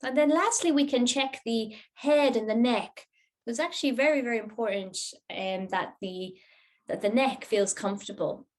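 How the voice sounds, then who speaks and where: A woman teaching a yoga nidra class, giving guided relaxation instructions in a gentle, unhurried, soothing manner. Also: a little high.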